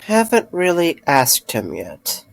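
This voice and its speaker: A man playing a gentle, reassuring former night worker in an amateur audio drama, speaking with a hesitant, stammering delivery. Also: on the high side, wildly swooping pitch, slow, vocal fry, no echo, full character voice. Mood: warm, easygoing.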